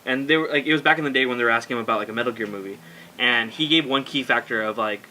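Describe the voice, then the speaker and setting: A man's voice: medium pitch, very fast, sharp tone, a little hiss or hum, rough recording. A man chatting casually as a panelist on a podcast, explaining his thoughts informally.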